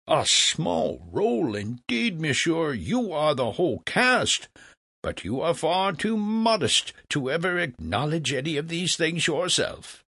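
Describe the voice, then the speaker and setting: A man's voice: a little high, big pitch swings, a little slow, bright, forceful tone, husky, no echo, clean studio sound, voice pushed up, exaggerated voice. A man voicing a wily old philosopher in a storybook, courteous and theatrically coy. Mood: flattering. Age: older. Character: wily old philosopher, flattering and feigning wistfulness.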